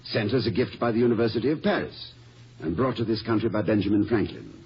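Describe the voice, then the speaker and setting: Fussy, hesitant older college president, muffled. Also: male voice, fairly low pitch, expressive, fast. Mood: earnest explaining. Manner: hesitant, bumbling, rambling, formal diction. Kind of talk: 1950s radio comedy acting. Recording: muffled, hissy old radio recording.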